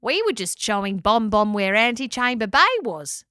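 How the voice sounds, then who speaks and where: Woman doing a chatty pelican lady, high and eager, aussie. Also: sing-song, moderate pace, sharp tone, smooth, no echo, studio quality. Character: excited chatty female pelican.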